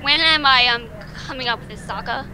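A woman's voice: sits high, normal speed, bright, forceful tone. Hesitant American woman, noisy call line. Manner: hesitant, worried, restarts often. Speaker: fanfiction writer, podcast guest. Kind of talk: casual group chat over voice call.

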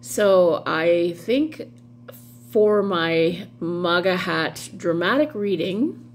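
A woman's voice: lowish voice, lively intonation, drawn-out words. A middle-aged woman vlogging casually in a wry, amused way, wandering off into asides. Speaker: vlog host doing dramatic readings. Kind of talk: casual rambling commentary. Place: small room at home, indoors. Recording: clearly audible background hum noise.

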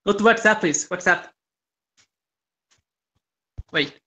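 Bright, high-voiced, excitable man on phone. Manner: excitable, exclaiming, quick to react. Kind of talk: casual video call chat. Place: small tiled room, indoors. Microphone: phone held close to the face.

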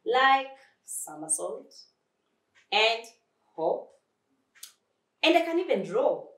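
A woman's voice: fairly high pitch, big pitch swings, slow pace, fairly bright tone, reverberant, distant mic, voice pushed up, heavily acted. A woman voicing a tiny, loyal flea who pleads and encourages in a storybook tale. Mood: encouraging. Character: tiny, earnest flea, loyal urging friend.

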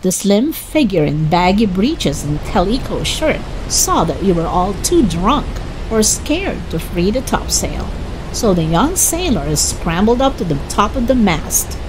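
Animated, slow-paced woman reading a storybook. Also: mid-range voice, noisy background. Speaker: children's story reader. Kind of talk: expressive scripted storybook narration.

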